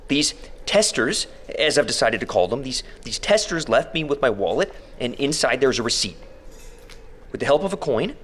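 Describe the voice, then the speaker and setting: An actor playing an anxious captive man who thinks aloud haltingly in an audio drama monologue. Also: medium pitch, fairly bright tone. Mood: excited, scheming. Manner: halting, musing aloud, self-questioning. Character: anxious captive man exploring an underground maze. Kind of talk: audio diary monologue in fiction podcast drama. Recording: noisy ambient background.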